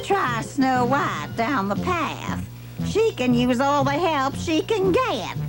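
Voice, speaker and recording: A vain, scheming witch with a high, wildly swinging voice. She draws out her words with gloating, theatrical relish. Music plays behind this old children's television recording. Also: big pitch swings, exaggerated voice. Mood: vain, dismissive. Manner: drawn-out, gloating, exclamatory. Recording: music under 1970s television soundtrack.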